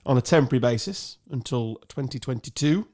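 Man, podcast host, middle pitch, casual banter, joking and teasing manner. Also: a little fast, darkish tone.

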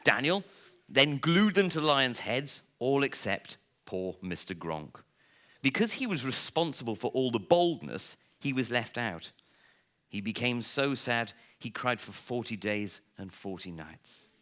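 Animated man reading a storybook aloud. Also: medium pitch. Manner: theatrical, playful, with dramatic pauses. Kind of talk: expressive live storybook reading.

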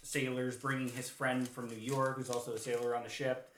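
A man's voice: medium pitch, flat delivery, a little fast, fairly bright tone, a little hiss or hum. A male podcast co-host with a mid-range voice talks casually with friends about history. He feels his way through stories in a hesitant, meandering manner. The room adds a noticeable echo.

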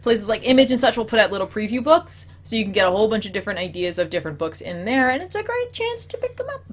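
A woman's voice: a little high, big pitch swings, fast, slight background noise. A woman co-hosting a comics talk show, bubbly and chatty, laughing often and saying "like" a lot. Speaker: show co-host. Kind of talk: casual chat.

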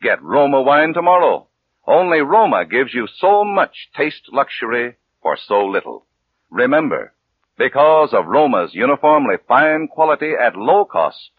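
A man reads a vintage radio commercial as the announcer. His medium-pitched voice is husky and swoops expressively through the polished sales copy. The old recording sounds thin and phone-like. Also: big pitch swings, unhurried, no room sound. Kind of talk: polished 1940s radio advertising read. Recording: narrow, tinny 1940s radio recording.

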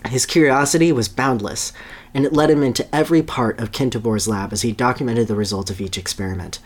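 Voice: mid-range voice, fast. A podcast host gives a dramatic reading of a story, with casual asides and an amused manner. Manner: amused, breaks into laughter while reading.

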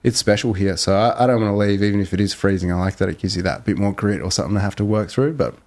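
A man chatting as a podcast guest, relaxed and reflective, with lots of fillers. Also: lowish voice, very fast. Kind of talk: casual podcast chat.

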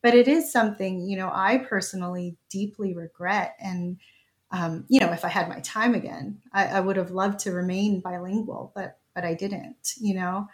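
A woman's voice: mid-range voice, fairly even intonation, normal speed. A woman in her thirties, the guest host of a podcast, talking in an affirming, conversational way with frequent fillers. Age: 30s. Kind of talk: casual conversation.

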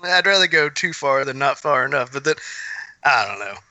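A man's voice: mid-range voice, animated, moderate pace, bright, forceful tone, dry recording, rough recording. A man hosting a podcast in casual chat, talking candidly in a rambling, self-effacing way. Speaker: podcast host.

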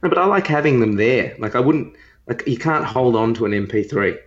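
A man's voice: mid-range voice, lively intonation, fairly quick. Man bantering, slightly muffled recording. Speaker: podcast co-host. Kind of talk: casual banter.

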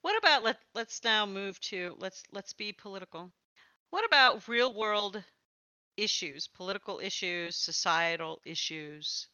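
Woman podcast host chatting w a guest, bright voice, really dry slightly dull recording. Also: medium pitch, expressive, measured pace, dry recording. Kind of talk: conversational interview.

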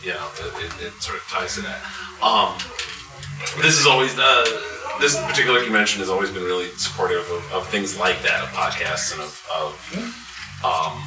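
Low-voiced man in an echoey room. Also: moderate pace, music in the background. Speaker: convention panelist and writer. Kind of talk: casual panel talk with jokes. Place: live convention panel. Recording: echoey room, distant mic.

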